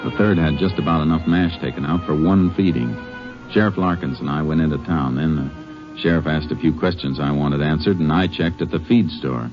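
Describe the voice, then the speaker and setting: An American man playing a calm, methodical Texas Ranger in a 1950s radio western, with a terse, matter-of-fact delivery. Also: rumbling low, a little fast. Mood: sober narrating. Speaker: lead actor and narrator. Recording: dull old radio recording with music.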